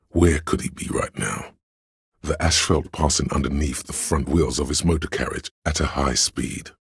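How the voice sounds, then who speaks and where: An exceptionally low-voiced man narrates a game with solemn, literary weight. His voice is husky and rasping, recorded close and dry. Each phrase is laid down deliberately, like scripted drama. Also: no echo. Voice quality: gravelly, husky, very deep. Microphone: close studio microphone, dry.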